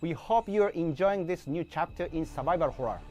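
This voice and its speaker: A man's voice: on the high side, normal speed, mellow. A man giving a scripted showcase presentation as a video game producer. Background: faint music.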